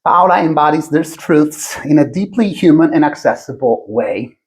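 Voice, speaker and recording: A man's voice: on the high side, lively intonation, normal speed. Italian-accented male podcast host, earnest. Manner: earnest, affirming, lots of encouraging backchannels. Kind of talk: interview hosting.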